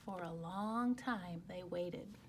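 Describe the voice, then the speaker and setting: A woman's voice: on the low side, slow pace, very noisy. A woman teacher narrating a picture book expressively as she reads aloud to children.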